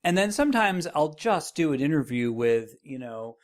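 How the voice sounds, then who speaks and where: A man talking casually as a podcast guest, feeling his way through sentences haltingly. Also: on the high side, very animated. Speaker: podcast host as interview guest. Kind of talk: casual podcast panel chat.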